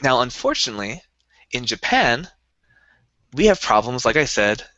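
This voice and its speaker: Young male podcast co-host, eager rambling explainer, crisp bright tone, dry dull recording. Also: mid-range voice, very animated, moderate pace, dry recording. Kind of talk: casual chat.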